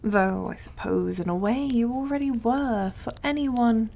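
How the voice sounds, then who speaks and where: A woman's voice: a little high, a little slow, creaky, warm tone. A woman voicing a suave, condescending devil in an ASMR roleplay, drawling and over-polite in a theatrical, taunting way. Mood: smug, taunting. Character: suave, mocking, condescending devil who deals in soul contracts.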